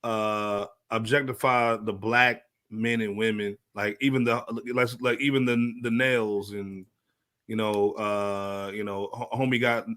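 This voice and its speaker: A man's voice: lowish voice, fairly even intonation, a little slow, a bit bright, smooth, dry recording, studio quality. A man with an American accent hosting a casual panel podcast in slangy, colloquial speech. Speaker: podcast host.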